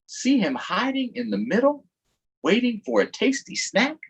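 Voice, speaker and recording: A man voicing an excitable, folksy swamp boat guide in a storybook, wary of a gator and speaking with exaggerated delivery. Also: extremely high pitch, moderate pace, slightly rough, pitched above the performer's own voice, full character voice. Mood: hushed excitement.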